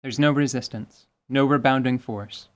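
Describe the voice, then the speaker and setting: A man narrates a scripted fantasy adventure as a storyteller. His voice sits at a medium pitch, in the style of a radio drama. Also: fairly even intonation, normal speed, noisy background.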